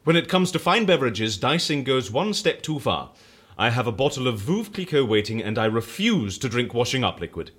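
A man's voice: medium pitch, big pitch swings, a little fast, fairly bright tone, slightly rough. An actor playing a suave, eccentric male boss in an audio drama, wry, mock-genteel and theatrical. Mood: dryly refined. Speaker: lead character in an audio drama.

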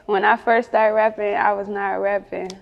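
A woman's voice: mid-range voice, little pitch movement, moderate pace, gravelly, long reverb tail, lo-fi sound. A woman with a Chicago accent chatting casually as an interview guest, candid and slangy. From Chicago, United States. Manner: candid, slangy, often chuckling.

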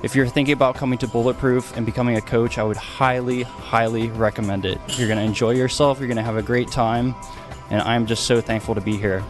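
A man's voice: mid-range voice, steady tone, a little fast, music in the background. Enthusiastic man health coach. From Maine. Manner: enthusiastic, salesy. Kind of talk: testimonial.

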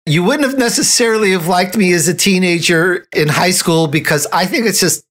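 A man's voice: high voice, fairly quick, a bit bright. A man hosting a conversational interview, speaking in an effusive, complimentary way. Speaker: interview host.

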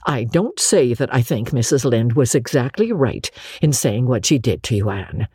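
A woman's voice: extremely low pitch, expressive, normal speed, dark tone, slightly rough, dry recording, studio quality, heavily acted. A woman voicing a stern, upright middle-aged countrywoman who reproves firmly in a dramatic storybook reading. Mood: gentler reproof. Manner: scolding, severe, firm.

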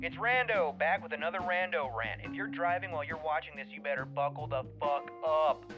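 An actor playing an indignant flat earther who rants in an emphatic, profane, theatrical way in a comedy audio drama. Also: male voice, on the high side, fairly quick, highs cut off, over music, lightly acted. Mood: hyped ranting. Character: indignant conspiracy-minded male flat earther video ranter. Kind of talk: audio fiction comedy acting.

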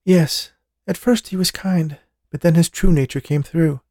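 A man's voice: on the high side, fairly even intonation, unhurried, dark tone, no echo, studio quality, voice pushed up. A man voicing a frightened young woman raised from a painting, who speaks in a pleading, tearful, urgent way.

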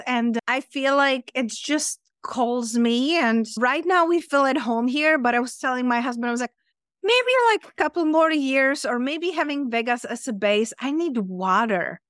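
A woman's voice: a little high, a bit bright, smooth, dry recording, studio quality. A woman in her thirties from the Czech Republic with a Czech accent, chatting as a podcast guest with many fillers. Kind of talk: casual interview chat.

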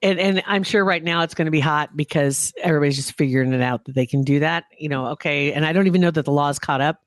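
A chatty podcast co-host who talks at an easy pace and laughs often. The voice sits at a middle pitch. The recording is dry and close. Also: quick pace, no echo. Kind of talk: casual podcast chat.

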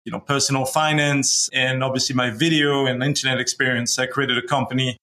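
Bright-voiced man chatting on a call. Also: mid-range voice, steady tone. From Belgium. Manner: halting, many restarts and fillers. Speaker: guest, company founder. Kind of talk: casual interview talk. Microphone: video call.

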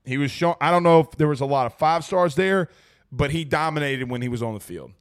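A man hosts a sports talk show, his voice hoarse and pitched a little high. He talks in excitable bursts and hammers his points home by saying them twice. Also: fairly quick. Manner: excitable, emphatic, repeats lines for effect. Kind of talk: casual sports talk show, reading viewer comments.

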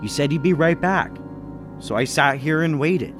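An actor playing a level-headed businessman who narrates a murder mystery in old-time radio style, measured and reasoning. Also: fairly high pitch, animated, close to an everyday voice. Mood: calm, reasoning. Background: music behind the voice.

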